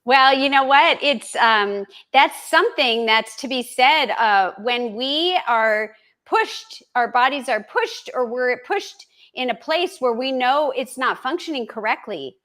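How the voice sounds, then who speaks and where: A woman's voice: sits high, expressive, a little slow, bright, forceful tone. A woman hosting a live interview, encouraging and playful with short affirmations. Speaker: host.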